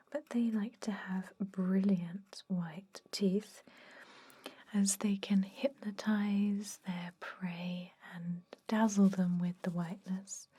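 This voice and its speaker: A woman playing a gentle, chatty vampire dentist in an ASMR roleplay, whispering slowly and breathily to soothe and confide. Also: medium pitch, breathy edge. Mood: chatty, confiding. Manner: slow, soothing, hesitant, confiding.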